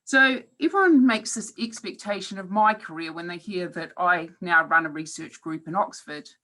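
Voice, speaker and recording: A woman's voice: fairly low pitch, moderate pace, pushed and bright. A woman in her forties with a New Zealand accent, giving a reflective webinar talk as a professor, with occasional hesitant fillers. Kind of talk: presentation talk.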